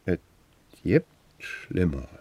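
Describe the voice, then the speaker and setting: An actor in a radio play playing a gruff, blunt man with a laconic, sardonic manner. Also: sits low, big pitch swings, extremely slow, mellow, full character voice. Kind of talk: German radio play acting.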